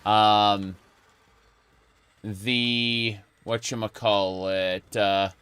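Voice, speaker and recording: A man's voice: on the low side, little pitch movement, extremely slow, a bit bright, clear, resonant tone. A man streaming a late-night gaming chat, rambling casually with lots of fillers and restarts. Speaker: streamer and video creator.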